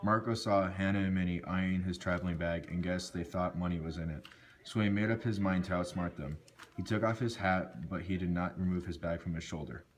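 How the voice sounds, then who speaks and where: A man with a low voice narrates a folk tale from a script. He reads it out as a storyteller, now and then tripping on a word and correcting himself. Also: brisk. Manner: stumbles and corrects words while reading.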